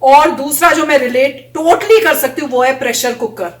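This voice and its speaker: A chatty woman with a high voice tells anecdotes in a casual vlog and mimics what others said. She sits a little back from the camera mic, and the room around her rings with echo. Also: moderate pace, far from the mic. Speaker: vlogger reacting to a video. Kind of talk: casual storytelling chat.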